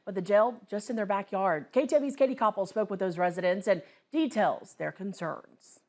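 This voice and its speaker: Mid-pitched female news anchor. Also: a little fast, a little mellow. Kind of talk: scripted news reading.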